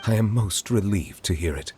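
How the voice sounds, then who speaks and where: An actor playing an ancient, grave chief judge in a video game, formal and measured with an awkward sincerity. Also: male voice, fairly low pitch, animated, over music, natural voice. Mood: formal, polite.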